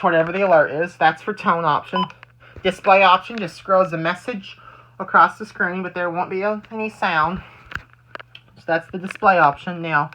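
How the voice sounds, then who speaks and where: A hobbyist vlogger with a very bright, forceful voice. The delivery is casual and halting, circling back over phrases while showing off a collection at home. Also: medium pitch, a little hiss or hum, rough recording. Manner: repetitive, halting explanations with repeated phrases. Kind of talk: casual show-and-tell of a collection. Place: indoors at home.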